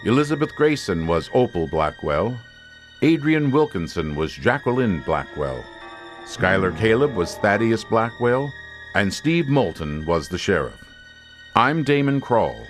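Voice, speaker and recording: Low, dramatic male radio announcer. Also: sing-song, faint music behind. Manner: dramatic, deliberate. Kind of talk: old-time radio suspense announcing, scripted.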